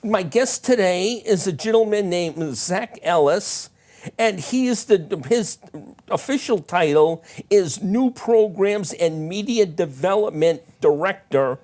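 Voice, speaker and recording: A man hosting a talk show, introducing his guest in a halting, folksy, casual way. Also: high-pitched, lively intonation, a little mellow.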